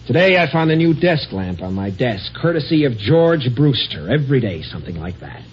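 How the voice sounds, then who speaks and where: A man's voice: medium pitch, sing-song, moderate pace, close to an everyday voice. A man playing a frightened, desperate office man. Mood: bitter, desperate. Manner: nervous, stammering, rising in panic. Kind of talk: 1950s radio acting. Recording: dull old radio broadcast with hiss.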